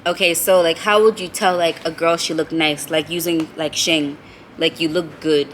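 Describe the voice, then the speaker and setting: A woman's voice: sits low, steady tone, moderate pace, a little hiss or hum. A woman hosting a casual vlog chat, curious and chatty, a little tipsy. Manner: curious, chatty, many "like" fillers. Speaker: vlog host. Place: cafe at night.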